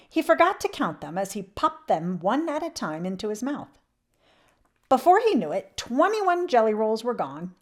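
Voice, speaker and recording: Animated woman reading a children's storybook. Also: mid-range voice. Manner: animated, with emphatic swells and hushed drops. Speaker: children's read-aloud channel host. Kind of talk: expressive children's storybook read-aloud.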